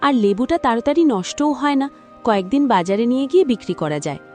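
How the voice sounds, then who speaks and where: An actress playing a busy, practical farmer's wife in a cartoon. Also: female voice, fairly high pitch, expressive, mellow, soft background music, close to an everyday voice. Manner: brisk, practical. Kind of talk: cartoon voice acting.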